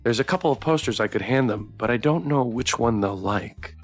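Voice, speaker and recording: A man's voice: medium pitch, lively intonation, fairly quick, soft background music. A man streaming a game, reading the story aloud expressively and chatting with viewers as he goes. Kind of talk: playthrough narration with chat banter.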